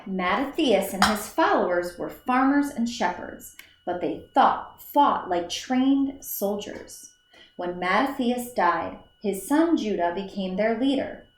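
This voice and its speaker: A woman teacher reads a children's story aloud, unhurried and deliberate, breaking the text into small phrases. Now and then she trips and restarts a word. Her voice carries a noticeable echo from the room around her. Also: mid-range voice, animated, slight background noise. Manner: slow, careful read-aloud with small slips and restarts. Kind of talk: reading a children's book aloud. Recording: echoey room.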